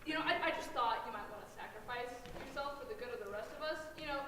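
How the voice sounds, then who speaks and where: A woman playing an evasive survivor leader in an amateur stage comedy, with halting deadpan delivery, recorded from afar in an echoey hall. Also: on the high side, close to an everyday voice. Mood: reluctant, persuading. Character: brisk, evasive female leader of zombie-apocalypse survivors. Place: indoor stage of a school performance. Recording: distant mic in echoey hall, faint noise.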